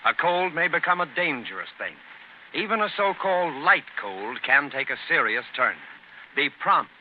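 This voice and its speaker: A man's voice: fairly high pitch, phone-quality sound. A man with an American accent delivering scripted announcements in the formal style of a 1930s radio announcer. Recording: noisy, band-limited 1930s radio broadcast.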